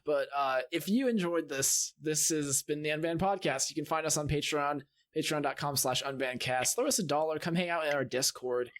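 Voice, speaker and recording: Male host, informal group chat show, voice a touch high. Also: fairly quick, few pauses, fairly bright tone. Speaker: podcast host. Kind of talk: casual group chat hosting.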